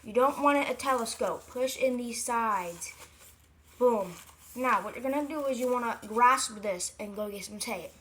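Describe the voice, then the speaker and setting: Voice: mid-range voice, normal speed, fairly bright tone, slight background noise. A video creator giving a casual how-to craft tutorial, rambling, correcting themselves and repeating points for emphasis. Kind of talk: casual DIY how-to vlog talk.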